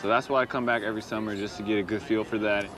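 A man's voice: on the low side, fairly even intonation, racing, a crowd in the background, background noise. A young American man in his twenties, speaking earnestly and reflectively about his life in a documentary. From United States. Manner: earnest, reflective, plain-spoken. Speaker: basketball player. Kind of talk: documentary talk and speech. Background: music.

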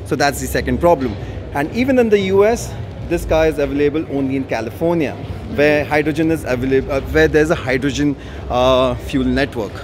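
Guy showing off a car at an expo, bit high voice, lots of noise around. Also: brisk. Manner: casual explaining with many fillers. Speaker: car show presenter. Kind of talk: walkaround car video at an expo. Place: large indoor auto expo hall. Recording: noisy expo hall.

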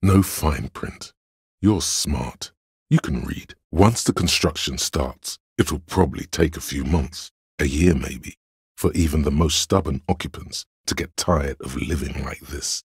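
An actor voicing a cool, analytical inner voice of logic in a detective game, narrating in a measured, dryly wry way. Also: male voice, rumbling low, husky, no echo, clean studio sound, heavily acted. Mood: matter-of-fact. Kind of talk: scripted second-person game narration.

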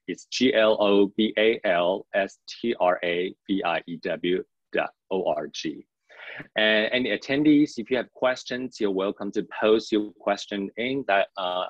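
Deep-voiced young man moderating a panel. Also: no echo. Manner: measured, reads out introductions with small fillers. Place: indoor home office on a video call. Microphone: video call. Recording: dull video call audio.

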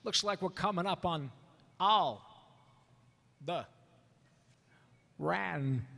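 A man's voice: high-pitched, extremely slow, creaky, bright, forceful tone, exaggerated voice. A man voicing a cocky, cynical space smuggler, brash and sarcastic, in a comic live table read on a hall stage. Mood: cocky, dismissive. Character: cocky, cynical male space smuggler as loud cartoon character. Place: large convention hall stage. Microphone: table microphone. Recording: reverberant convention hall panel.

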